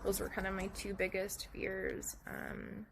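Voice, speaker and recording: A young woman at home giving a soft, halting personal update, her voice hoarse and raspy after surgery, with many pauses and frequent throat-clearing. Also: medium pitch, monotone, noisy background. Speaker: vlogger sharing her recovery. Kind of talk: casual personal update to camera.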